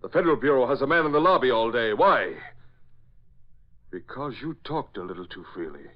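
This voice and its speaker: An actor playing a cold Nazi spy chief in a 1940s radio drama, with a German accent and a clipped, formal, commanding manner. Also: male voice, medium pitch, animated, fairly quick, close to an everyday voice. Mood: accusing. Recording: dull old radio recording.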